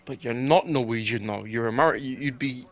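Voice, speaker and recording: A man's voice: mid-range voice, fairly quick. A man from England in casual, argumentative chat, swearing and often repeating words as he makes his point.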